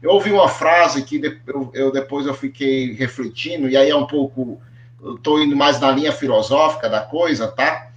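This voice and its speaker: A man's voice: medium pitch, normal speed, lo-fi sound. A man with a Brazilian accent moderating an online panel, framing questions in a hesitant way with repeated words. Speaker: host. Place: echoey room, on a video call. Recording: echoey room over online call.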